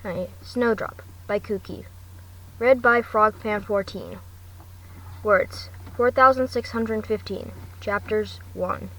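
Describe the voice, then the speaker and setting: A woman's voice: mid-range voice, slow pace, a bit bright. A woman narrating fan fiction as an amateur reader, often stumbling over words and restarting. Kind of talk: amateur audiobook narration with chatty asides. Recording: distant home mic, faint noise.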